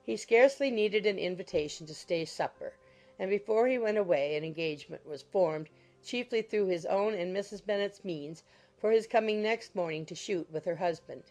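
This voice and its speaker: A woman narrating a classic novel in a measured, literary storytelling manner. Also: medium pitch, a little mellow. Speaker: audiobook narrator. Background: background music.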